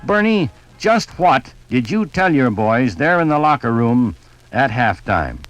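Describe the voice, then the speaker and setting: A man's voice: medium pitch, sing-song, a little slow, dry recording, faint music behind, poor audio. A man delivering scripted sports narration in the style of a 1950s radio broadcast.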